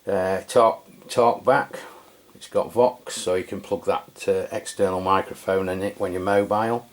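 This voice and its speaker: Low-voiced man reviewing a radio. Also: measured pace. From England. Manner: relaxed and chatty, with frequent fillers and self-corrections. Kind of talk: casual product review walkthrough.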